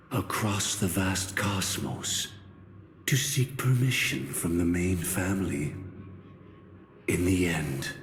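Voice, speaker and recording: A spirit made of memory in an anime game, composed and polite. The deep voice is solemn and very deliberate, with slow delivery and long, echoing reverb. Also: male voice. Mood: somber, disdainful. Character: calm, courteous male spirit formed from memory. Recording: echoey long reverb, faint noise.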